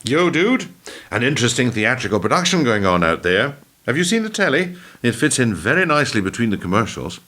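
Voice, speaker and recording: A man's voice: medium pitch, very animated, fairly quick, sharp tone. A man acting in a radio play as a weary, sardonic ageing former prime minister, telling his story in a wry, theatrical way. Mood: defiant, sarcastic. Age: older. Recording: noisy amateur radio play recording.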